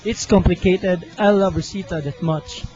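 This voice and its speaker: A lovestruck, self-sacrificing young man, acted by a young man in an amateur radio play. His voice is high and earnest, given to melodrama. The sound is dull, with faint music underneath. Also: steady tone, normal speed, close to an everyday voice. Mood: earnest, defiant. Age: young adult. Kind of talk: student radio drama acting. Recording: muffled amateur recording, music behind.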